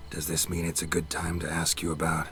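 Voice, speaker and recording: An actor playing a gruff, stoic monster hunter in a video game, terse and deadpan. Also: male voice, rumbling low, brisk, a little hiss or hum. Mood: curious, dry. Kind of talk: video game dialogue acting.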